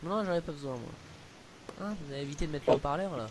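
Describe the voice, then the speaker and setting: A young man with a slightly high voice improvises a prank call. He needles and lectures in a mock-serious, provocative way. The recording is amateur, with obvious noise and clicks. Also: very fast. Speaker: prank caller.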